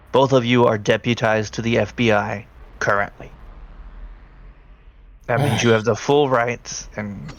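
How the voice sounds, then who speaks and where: Gravelly-edged man running a tabletop game. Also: mid-range voice, a bit bright. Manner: conversational, addresses players directly. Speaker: game master. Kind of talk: narrating a tabletop role-playing game, improvised.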